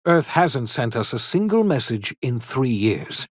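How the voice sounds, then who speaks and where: Game role: elderly male eccentric scientist, theatrical, old-fashioned diction, very animated mid-pitched delivery. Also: a little slow, no echo, studio quality. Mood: grave, worried. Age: older. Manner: theatrical, formal old-fashioned phrasing, emphatic. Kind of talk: video game character dialogue.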